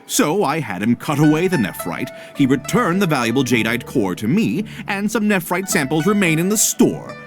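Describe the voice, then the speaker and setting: Brief: slippery game merchant, formal and argumentative, highish voice that swings dramatically. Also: male voice, very animated, normal speed, few pauses, over music. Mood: defensive, argumentative. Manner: theatrical, argumentative, polished formal phrasing. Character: indignant, slippery male merchant arguing his case. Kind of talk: video game cutscene voice acting.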